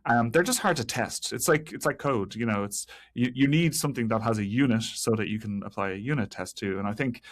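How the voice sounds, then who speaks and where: A man being interviewed on a podcast as an engineer, explaining technical work in a halting way with repeated words and many hedges. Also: medium pitch. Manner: halting, hedging with frequent 'like' and 'kinda', repeated words. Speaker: guest, senior software engineer.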